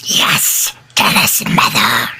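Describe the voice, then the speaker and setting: A man voicing a small curious boy mouse in a live radio play reading, pleading and hushed. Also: takes it slowly. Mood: eager. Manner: pleading, hushed and wide-eyed.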